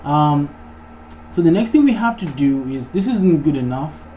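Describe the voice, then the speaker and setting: A man's voice: a little high, expressive, normal speed, dark tone. A man narrating an informal coding tutorial, casually thinking aloud as he works through each step. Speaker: programming tutorial host. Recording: clearly noisy recording, keyboard typing. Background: background noise, computer keyboard typing.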